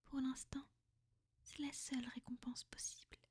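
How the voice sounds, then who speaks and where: A woman voicing a powerful, protective, ancient vampire noblewoman in an ASMR roleplay, soft-spoken and breathy, formal and measured, slipping into whispers. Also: high voice, level tone. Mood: grateful, affectionate. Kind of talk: French ASMR girlfriend roleplay.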